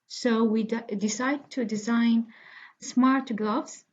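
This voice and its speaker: A woman giving a scripted student presentation with a halting delivery. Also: on the high side, steady tone. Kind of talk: scripted project introduction. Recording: dull, lo-fi microphone sound.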